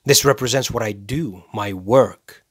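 An actor playing a cold, self-righteous serial killer in a dramatic film monologue, speaking deliberately and with menace, pausing for effect. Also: male voice, lowish voice, husky, dry recording, clean studio sound. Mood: cold, controlled. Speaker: actor performing a movie monologue.

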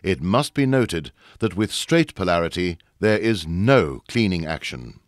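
Deep-voiced male instructional narrator, very lively intonation. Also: dry recording, studio quality. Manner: measured, formal explaining. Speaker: narrator of a training video. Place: close, dry studio voice-over.

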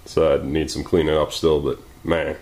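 Low-voiced man talking over his workbench. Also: little pitch movement, normal speed, darkish tone. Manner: joking, exclaiming and thinking aloud while working. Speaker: maker channel host. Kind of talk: casual workshop commentary. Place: home garage workshop.

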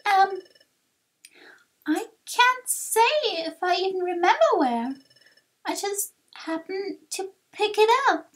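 A woman voicing a young, earnest and excitable little adventurer creature in a video game. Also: extremely high pitch, slow pace, heavily acted, low-quality recording. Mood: eager, earnest. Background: game music.

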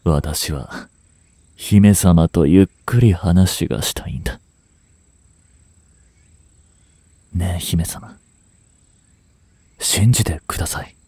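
Guy playing proud prince caught as a spy, deep slow voice, formal and pleading, voice drama style. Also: dark tone, husky, no echo, full character voice. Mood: earnest pleading. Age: 20s. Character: proud, smooth-talking young prince unmasked as a spy. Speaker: amateur voice actor and voice drama creator. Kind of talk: dramatic Japanese voice drama acting.

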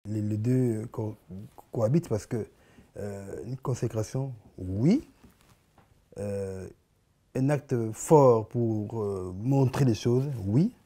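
A man in his forties with a Congolese French accent, answering interview questions in a living room, often stopping and repeating words as he searches for them. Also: on the low side. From Congo (Kinshasa). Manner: halting, many repeated words and restarts, animated hand gestures. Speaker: artist guest.

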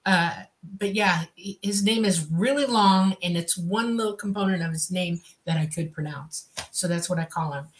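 An older woman with a Swedish accent co-hosts over a video call, her voice pitched on the low side. She talks in a chatty, casual way, with Swedish as her first language. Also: normal speed, few pauses. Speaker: co-host. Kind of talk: casual interview talk.

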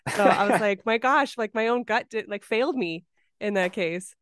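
A woman's voice: medium pitch, normal speed, dry recording. A woman hosting a podcast in a casual, conversational interview style. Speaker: podcast host.

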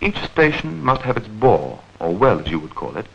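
A man narrating a 1940s educational newsreel in a measured, clearly enunciated, explanatory manner. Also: lowish voice, sing-song, fairly bright tone. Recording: dull vintage 1940s film soundtrack.

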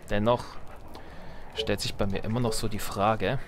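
A man's voice: lowish voice, fairly even intonation, normal speed, background noise. A man giving casual let's play commentary, musing to himself with dry, playful wordplay.

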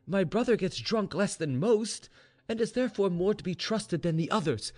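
Man as a high-voiced, shrewd young maidservant. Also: lively intonation, normal speed, a little mellow. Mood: shrewd, insistent. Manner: insistent, reasoning persuasion. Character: shrewd, urgent young Russian maidservant counselling her mistress. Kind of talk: storybook dialogue reading.

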